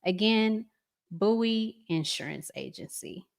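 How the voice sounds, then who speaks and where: Slow-talking woman hosting a live stream. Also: mid-range voice, expressive, creaky. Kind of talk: casual commentary to viewers.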